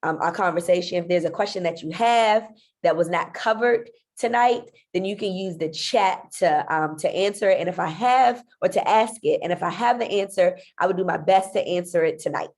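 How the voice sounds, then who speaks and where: A woman's voice: lowish voice, moderate pace, darkish tone. A woman with a stuffy, nasal voice from congestion, running an online parent meeting as a school leader and talking in a friendly way with frequent ums. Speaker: school principal.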